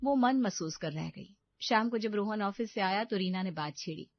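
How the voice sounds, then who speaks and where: A woman's voice: medium pitch, expressive, moderate pace, no echo, dull audio. A woman storyteller reading scripted narration of a story.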